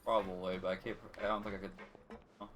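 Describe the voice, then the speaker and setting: A man's voice: deep voice, flat delivery, normal speed, fairly bright tone, slight background noise. A man giving casual live commentary while streaming a game. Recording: game music behind the voice.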